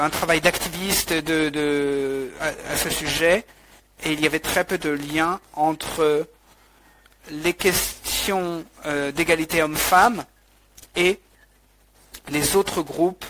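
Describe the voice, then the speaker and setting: A man interpreting a webinar talk live, halting, with frequent restarts and fillers. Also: on the high side, steady tone, background noise.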